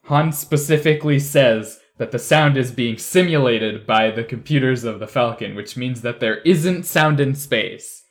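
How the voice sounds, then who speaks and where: A man's voice: medium pitch, a little slow, hardly any pauses. A man co-hosting a podcast, chatting casually and acting out paraphrased lines in a conversational way. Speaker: podcast co-host. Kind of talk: casual group chat reviewing a drama.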